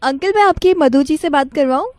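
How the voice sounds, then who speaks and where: High-voiced polite young woman on phone. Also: a little fast, no room sound, studio quality. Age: young adult. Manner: polite, deferential. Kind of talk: casual phone conversation.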